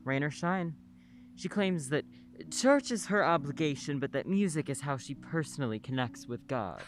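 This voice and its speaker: An actor playing a polite, soft-spoken male nurse in a radio play, a middle-aged neighbor who talks matter-of-factly. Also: a little high, expressive, moderate pace, a little hiss or hum, close to an everyday voice. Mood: calm, friendly. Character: gentle older male nurse neighbor, married, childless.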